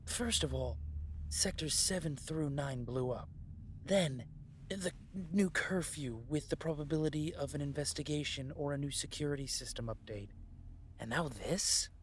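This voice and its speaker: An actor playing a strong, level-headed rebel brother in a sci-fi audio drama, speaking in a casual, urgent, slangy way. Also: male voice, on the high side, moderate pace, close to an everyday voice. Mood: worried. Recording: clear background noise, ambience.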